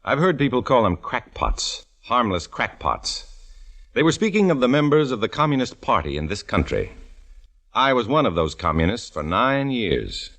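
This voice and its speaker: An actor playing a male undercover agent who narrates his story in a 1950s radio drama, with measured, tense delivery. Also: mid-range voice, big pitch swings, fairly bright tone. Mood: grim. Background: music.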